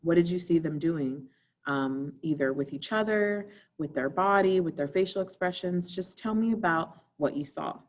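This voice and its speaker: Lowish-voiced woman teaching a recorded class. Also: moderate pace. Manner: instructive, addressing students directly with questions. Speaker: theater teacher. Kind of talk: informal recorded video lesson for students.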